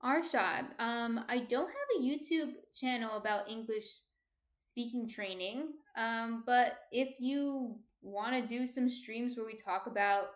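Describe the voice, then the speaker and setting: A soft-spoken woman in her thirties with a New Jersey accent, casually chatting and answering viewer comments on her stream. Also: fairly high pitch, measured pace. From New Jersey, USA.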